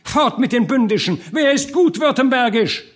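Man as rowdy townsman, storybook revolt, high shouted voice, impatient, hot-headed, wildly animated. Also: normal speed. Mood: excited, urgent.

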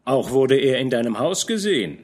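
A man voicing a shrewd, fatherly older field captain who speaks in a knowing, admonishing way, with lively pitch. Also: moderate pace. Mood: probing.

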